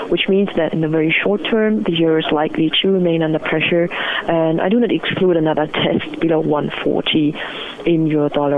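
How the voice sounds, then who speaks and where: A woman giving methodical, explanatory market analysis as an interview guest over the phone. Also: deep voice. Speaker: currency strategist, interview guest. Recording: muffled narrow phone line.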